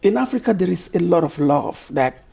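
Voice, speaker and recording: Husky Cameroonian man, slow and thoughtful. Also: sits high, animated, mellow. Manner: slow, thoughtful, searching for words. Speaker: author guest. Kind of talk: interview answers.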